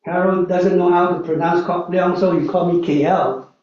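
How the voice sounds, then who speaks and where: A man's voice: sits high, fairly even intonation, normal speed. A man in his sixties, a lay preacher telling personal stories in a chatty sermon, with frequent "uh" and "right?" asides. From Malaysia. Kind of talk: storytelling sermon. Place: church hall. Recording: echoey hall, distant mic, dull sound.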